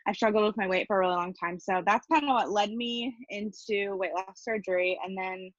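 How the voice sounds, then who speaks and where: Woman, late twenties, podcast guest, animated, candid, muffled dry recording. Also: medium pitch, fairly quick, dry recording. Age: late 20s. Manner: animated storyteller, laughs through frustration. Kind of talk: casual interview chat.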